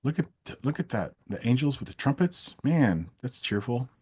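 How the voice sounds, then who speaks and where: A man co-hosting a live stream with casual, wry banter in a halting delivery. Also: medium pitch, big pitch swings, darkish tone, slightly rough.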